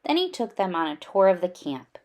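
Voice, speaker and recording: Woman reading a storybook, very lively pitch. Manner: unhurried, animated reading with emphasis. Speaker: children's read-aloud storyteller. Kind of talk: expressive storybook narration.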